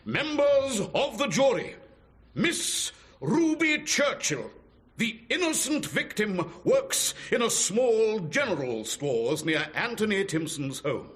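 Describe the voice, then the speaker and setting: An actor playing an earnest, pompous prosecuting barrister in a radio drama, with deliberate, theatrical phrasing. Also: male voice, sits high, sing-song, fairly bright tone, heavily acted. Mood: formal.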